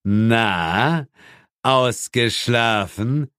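A man's voice: mid-range voice, extremely slow, a bit bright, smooth, dry recording, clean studio sound. A man voicing the devil as a sneering, mock-friendly villain in an audiobook. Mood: jovial teasing. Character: the devil, mocking male arch-enemy with a bleating laugh.

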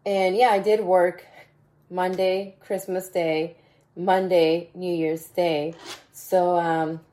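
A woman with a raspy voice hosting a motivational podcast, talking casually and mentoring her listeners, often repeating phrases. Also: on the low side, little pitch movement, slow. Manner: warm mentoring tone, repeats phrases, bursts of laughter. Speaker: podcast host.

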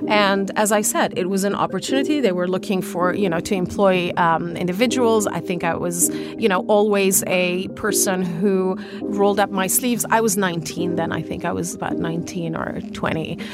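A woman's voice: lowish voice, normal speed, over loud music. Woman with a Lebanese accent, reflective. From Algeria. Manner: reflective, many fillers and restarts. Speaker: guest, fashion founder. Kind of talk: conversational interview.

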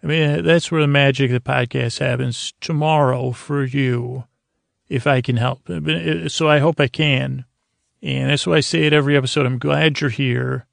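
A man's voice, heavily creaky and gravelly at a middling pitch, recorded dry with almost no room sound. He hosts a bedtime show in a droning, roundabout way, stumbling over words and circling back as he goes. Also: fairly even intonation, dry recording. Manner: droning, halting, circling back with stammered repeats. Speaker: podcast host. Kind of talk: rambling, meandering bedtime monologue meant to lull listeners to sleep.

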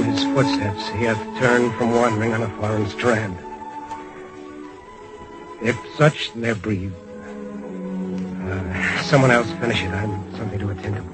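An actor playing an exiled officer in an old-time radio drama, reading aloud in a halting, reciting manner. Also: male voice, on the low side, close to an everyday voice, poor audio. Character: exiled officer reciting patriotic verse, faltering.

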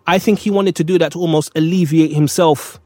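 A man's voice: on the high side, steady tone, fairly quick, darkish tone, dry recording. A man co-hosting a casual talk, reflective and repeating phrases as he thinks. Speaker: podcast co-host. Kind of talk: casual chat.

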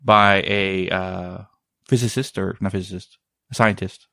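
A man's voice: low voice, fairly even intonation, measured pace, creaky, sharp tone. A man hosting a casual chat, rambling and often searching for the right word.

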